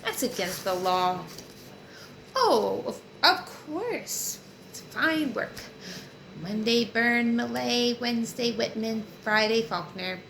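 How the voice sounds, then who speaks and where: A woman's voice: a little high, lively intonation, slow pace, fairly bright tone, exaggerated voice. A woman voicing a guarded, uneasy fireman of about thirty from a novel, defensive and dismissive, in an audiobook reading. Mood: assured. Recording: roomy echo with clear background noise.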